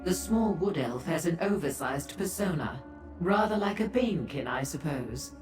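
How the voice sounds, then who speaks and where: Fantasy game role: composed, haughty mage, deep for a woman, formal, in a reverberant space. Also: animated, over music. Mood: composed, aloof. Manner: measured, formal, aloof. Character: haughty, composed noblewoman sorceress with servants. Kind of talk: scripted fantasy game acting.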